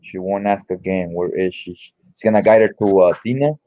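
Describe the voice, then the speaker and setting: A man's voice: low-pitched, brisk, mellow, muffled recording. A man giving casual read-along comic commentary in an informal, slangy, mildly profane way. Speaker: comic book reviewer.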